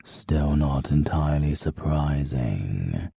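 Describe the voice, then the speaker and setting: A man with a British accent voicing a soft-spoken, coldly polite assassin who talks slowly. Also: a bass voice, expressive, gravelly, husky, dry recording, clean studio sound, full character voice. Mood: cold, calm.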